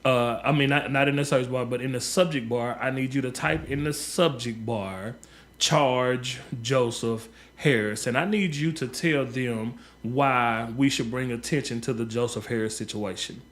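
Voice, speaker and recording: A man's voice: mid-range voice, a bit bright. A man, a local community organizer making an earnest radio appeal, often restarting and repeating words. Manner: halting, many repeated words and fillers. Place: radio studio.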